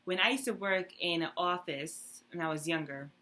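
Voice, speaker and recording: A young adult woman in casual chat with a friend, telling stories in an animated way and acting out what others said. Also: lowish voice. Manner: animated storytelling, quick laughs, acts out quotes. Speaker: co-host.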